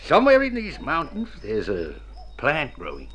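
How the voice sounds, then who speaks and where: An actor playing a tough, stubborn old country doctor in a radio drama, folksy, with a rural American mountain accent. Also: male voice, a little high, wildly swooping pitch, moderate pace, fairly bright tone, husky, exaggerated voice. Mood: confident, persuasive. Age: older. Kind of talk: Golden Age radio drama acting. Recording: noisy old radio recording.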